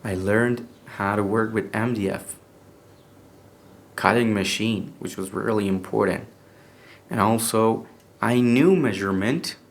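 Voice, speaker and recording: A young man from Iran with an Iranian accent, speaking English as a second language with a native Persian background, telling a personal story in a casual, halting way full of restarts. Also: on the low side, creaky. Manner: halting, hesitant English with many restarts and fillers. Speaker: podcast speaker.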